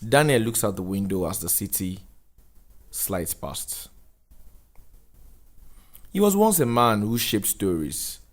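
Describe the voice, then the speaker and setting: A man narrates a scripted drama, laying out each scene in turn. His voice is on the low side, and his delivery is deliberate and solemn. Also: very animated.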